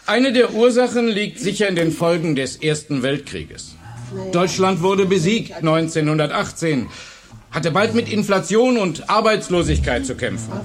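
An actor playing an earnest, patient history teacher in a radio drama, explaining emphatically to a class. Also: male voice, high voice. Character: male high school history teacher, earnest, patient, engaged. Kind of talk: German radio drama acting.

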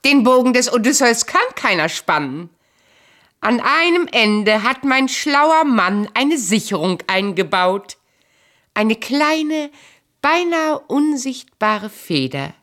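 A woman acting a proud, bitter, calculating queen and abandoned wife in a German radio play, speaking in a cool, sharp-tongued, imperious way. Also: fairly high pitch, very animated, slow pace, exaggerated voice. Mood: defiant, calculating.

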